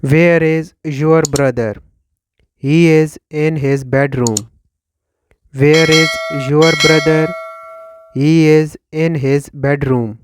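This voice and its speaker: A man in his thirties from Pakistan with a Pakistani accent and Urdu as his first language, teaching English by slowly reading questions and answers and repeating each one for learners. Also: fairly high pitch, steady tone. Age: 30s. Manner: slow, deliberate, repeats each line for learners. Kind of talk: slow scripted question-and-answer drill.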